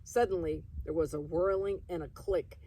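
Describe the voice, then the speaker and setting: A woman reading a children's story aloud in an expressive storytelling style. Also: fairly low pitch, moderate pace, far from the mic, slight background noise. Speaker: reader of a children's book.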